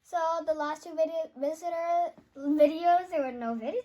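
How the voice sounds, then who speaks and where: A woman's voice: sits high, normal speed, dark tone. A young girl hosting a children's read-aloud and reading a story expressively.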